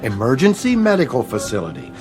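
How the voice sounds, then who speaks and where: Easygoing cop, medium pitch, very varied intonation, placating, folksy, radio drama with music. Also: male voice, huge pitch swings, quick pace, darkish tone. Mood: reasoning. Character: easygoing, mildly sympathetic policeman.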